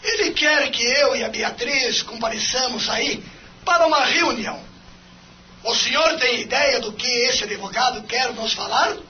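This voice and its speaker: A man's voice: very high-pitched, measured pace, pushed and bright, full character voice. A Brazilian actor playing a wealthy, suspicious man probing his lawyer in an audio drama. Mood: uneasy, suspicious. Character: wealthy, suspicious male client with a secret. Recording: muffled, faintly noisy phone-call scene.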